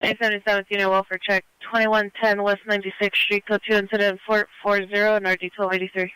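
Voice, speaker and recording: A man working as a police radio dispatcher, reading out codes and addresses in a flat, clipped, procedural way over the radio. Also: high-pitched, fast, clear, resonant tone, no echo, rough recording. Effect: police radio transmission.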